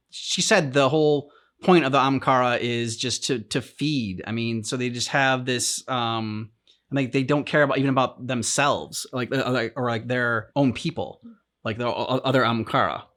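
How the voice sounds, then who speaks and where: A man in a casual discussion, talking as a reaction show host in a halting, rambling way as he thinks aloud. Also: mid-range voice, fairly bright tone. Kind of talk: casual discussion of video game story.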